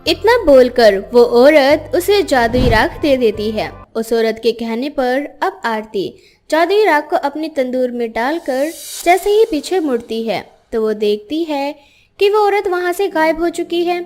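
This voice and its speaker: Female storybook narrator, very high voice, scripted children's tale delivery over soft music. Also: expressive, measured pace. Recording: music behind the voice.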